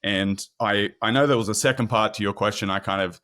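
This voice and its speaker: A man's voice: on the low side, steady tone, a little fast, sharp tone. A man in his thirties with an Australian accent, chatting as a guest on a podcast, friendly and often repeating words as he starts a thought. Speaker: guest, product marketing manager. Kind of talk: casual podcast interview.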